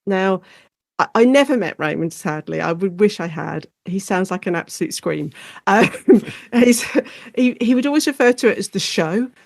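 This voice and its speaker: A woman with an English accent speaks as an interview guest, explaining her specialism in a chatty, animated way. Her pitch sits in the middle for a woman, and the sound is dry and close. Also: normal speed, gravelly, no echo. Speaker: guest academic expert.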